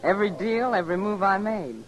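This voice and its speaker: A woman's voice: mid-range voice, animated, fairly quick, lightly acted, rough recording. A woman playing a cool, bold young card dealer in a radio western, self-possessed and challenging. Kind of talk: old-time radio western acting. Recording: old radio recording, saloon crowd behind.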